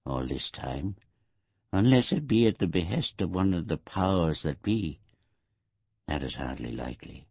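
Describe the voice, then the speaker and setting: A bedridden old man near death, narrating a literary audiobook. The voice sits extremely low and crackles with gravel and husk. He ruminates in short, halting phrases, wry and quibbling, on a slightly muffled, dry recording. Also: no room sound, exaggerated voice. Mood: musing, detached. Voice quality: gravelly, husky. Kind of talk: scripted audiobook narration, first-person literary monologue.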